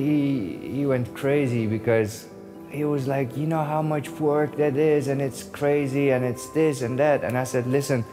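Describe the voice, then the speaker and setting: A man from the Netherlands walking through his new shop, telling its story with enthusiasm and acting out his phone calls with the artists. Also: mid-range voice. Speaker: store owner giving a tour. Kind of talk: casual walkthrough narration. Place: inside a large shop.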